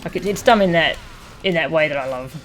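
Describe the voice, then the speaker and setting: Low-voiced woman casually commentating a game. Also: quick pace, soft background music. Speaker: video game streamer. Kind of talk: casual live gameplay commentary.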